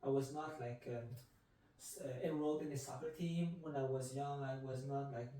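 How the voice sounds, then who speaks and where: A man in his twenties from Canada, whose first language is Vietnamese, answering interview questions about running in a measured, reflective way. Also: mid-range voice, steady tone, warm tone. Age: 20s. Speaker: guest, marathon runner. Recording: echoey room, mic from a distance.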